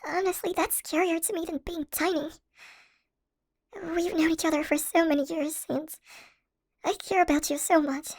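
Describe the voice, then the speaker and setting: A woman's voice: very high-pitched, steady tone, normal speed, pauses often. A woman playing a tiny shrunken young college student, playful and affectionate, in a scripted audio roleplay. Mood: tender, relieved.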